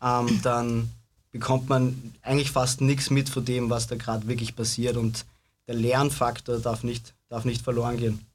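A man's voice: medium pitch, flat delivery, normal speed. A man in a casual panel talk, reflecting aloud and thinking things through. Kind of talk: casual discussion.

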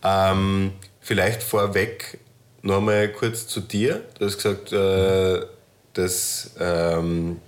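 A man with a low-pitched voice. He hosts an interview in a casual style. His sentences take the long way round, with hesitations and frequent pauses. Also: level tone. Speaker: host, interviewer.

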